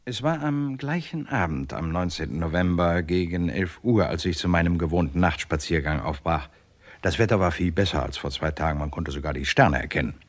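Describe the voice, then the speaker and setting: Lively, husky, wry crime-story narrator. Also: male voice, low-pitched, fairly quick. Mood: wry storytelling. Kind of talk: first-person radio crime drama narration.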